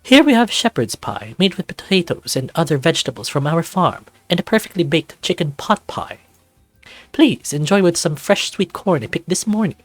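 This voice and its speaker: A flamboyant snow leopard gentleman played by a man speaks high and lilting, with big swoops. He is a gracious, theatrical resort host from a visual novel, courtly with his guests, over a music bed. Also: very animated, moderate pace, dry recording. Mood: proud gracious. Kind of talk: visual novel character acting.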